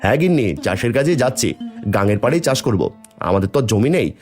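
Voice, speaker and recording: A man's voice: mid-range voice, brisk, close to an everyday voice. A man voicing a hopeful, kindly poor farmer and family man in a cartoon. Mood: warm, determined. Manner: animated, warm to family. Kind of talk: cartoon voice acting. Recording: soft music behind the voice.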